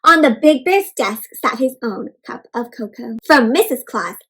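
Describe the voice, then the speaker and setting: A woman's voice: fairly high pitch, animated, normal speed, fairly bright tone, slightly rough. A woman hosting an interactive storybook read-aloud for kids, asking questions and explaining words simply. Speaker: children's read-aloud host, teacher.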